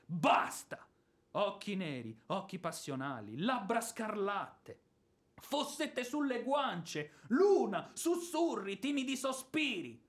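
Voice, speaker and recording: High-pitched, blustering ex-officer. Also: male voice, heavily acted. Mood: scornful, mocking. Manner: declamatory, sarcastic, prone to bellowing. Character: blustering, indignant former army officer, misogynist creditor. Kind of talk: theatrical Italian radio drama acting.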